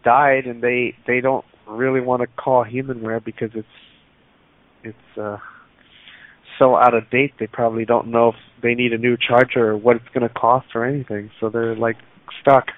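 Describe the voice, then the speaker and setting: A man from Los Angeles calling into a group chat, talking haltingly with many restarts. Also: medium pitch, flat delivery, dry recording. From Los Angeles, USA. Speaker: caller. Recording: tinny phone line, dry.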